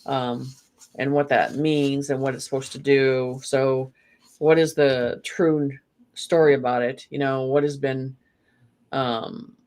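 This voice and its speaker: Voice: medium pitch, flat delivery, takes it slowly, a little mellow. A guest in a spiritual conversation, talking in a meandering way with frequent "you know" fillers.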